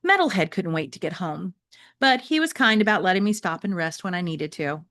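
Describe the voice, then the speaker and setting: A woman voicing a wry, wistful three-legged shelter dog who loves food, telling a children's story in an expressive, conversational way. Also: lowish voice, brisk, dry recording, studio quality. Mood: wry, puzzled. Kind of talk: first-person children's novel read aloud.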